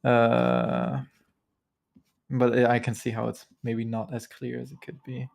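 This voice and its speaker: A man's voice: medium pitch, sing-song, unhurried, creaky, darkish tone. A man from Germany whose first language is German, chatting casually as a podcast co-host and rambling with lots of fillers and restarts. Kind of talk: casual chat.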